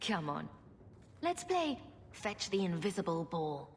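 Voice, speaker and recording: Lively, wry young heroine. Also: female voice, lowish voice, a little slow, long reverb tail, slight background noise. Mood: playful. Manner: joking, direct. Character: wry, quick-witted young woman, fantasy game heroine. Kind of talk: video game voice acting.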